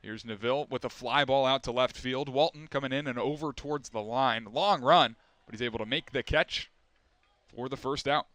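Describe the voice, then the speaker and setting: A man's voice: mid-range voice, fairly bright tone, no echo. Young man calling baseball play-by-play. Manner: measured, rising for big plays. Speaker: play-by-play announcer.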